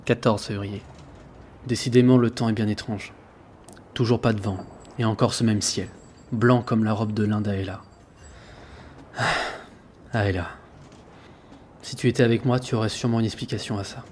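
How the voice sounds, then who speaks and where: An actor playing an earnest sailor who narrates his ship's log in a measured, reflective way for an audio drama. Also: fairly low pitch, fairly even intonation, darkish tone, slight background noise, natural voice. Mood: wistful. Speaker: narrator and lead character. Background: background music.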